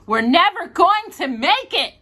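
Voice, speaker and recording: Lady doing worried big brother kid in a storybook, higher bright voice, doubting. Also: very animated, a little slow, exaggerated voice. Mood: doubtful. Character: older brother, a boy, anxious and doubting. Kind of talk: storybook character reading.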